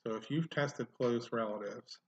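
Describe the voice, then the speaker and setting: A man's voice: medium pitch, moderate pace, dull audio, background noise. Man talking through a screen-recorded tutorial. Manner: thinks aloud with frequent fillers. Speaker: genealogy tutorial host. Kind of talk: casual screen-recorded software walkthrough.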